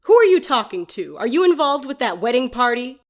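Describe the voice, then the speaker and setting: A woman's voice: a little high, sing-song, brisk. An actress playing a haughty, bitter older woman in an audio drama, imperious and condescending. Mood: haughty, indignant.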